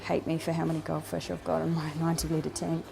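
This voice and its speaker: A woman's voice: low voice, completely flat delivery, quick pace, creaky. A woman with a New Zealand accent, chatting casually as she films her home fish room, rambling with plenty of ums and restarts. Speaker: fishkeeping vlogger. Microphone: handheld camera.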